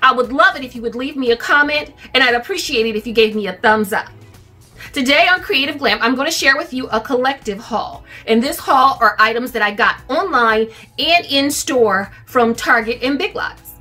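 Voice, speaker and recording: Casting: middle-aged woman, bright forward voice, casual home haul-video chat, music underneath. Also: high-pitched, animated, moderate pace. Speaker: vlogger. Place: furnished living room at home, indoors.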